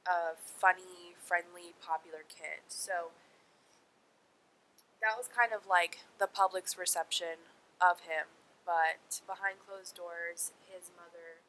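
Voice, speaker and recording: A woman's voice: fairly even intonation, slow pace, pushed and bright. A young woman with a nasal, congested voice from a cold and sniffles, telling a true crime story casually and informally to her viewers. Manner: informal, sweary, talks to viewers as friends. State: sick with a cold, sniffling, dry sore throat. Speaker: host of a true crime video series. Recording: poor mic with clear noise and rumble.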